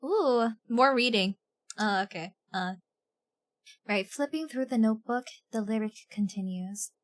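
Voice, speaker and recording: A woman's voice: fairly high pitch, a little slow. A woman streaming a video game live, reading its text aloud and chatting casually. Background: game music.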